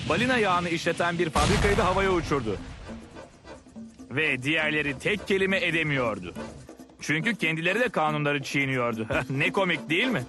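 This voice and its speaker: An actor playing a mischievous, excitable boy, eager for adventure, in a dubbed cartoon. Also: fairly high pitch, natural voice. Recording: music behind the voice.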